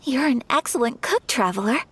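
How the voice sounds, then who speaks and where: A woman voicing a polite, refined young noblewoman in a video game, soft-spoken, formal and courteous. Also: fairly high pitch, lively intonation, fairly quick, slight background noise, natural voice. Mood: gracious.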